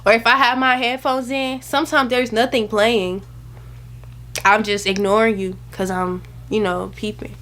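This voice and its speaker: A woman's voice: a little high, flat delivery, moderate pace, a bit bright, slight background noise. A woman in her early twenties talking straight to camera in a chatty, rambling, emphatic way, giving casual advice with plenty of slang. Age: early 20s. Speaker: vlogger. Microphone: camera mic.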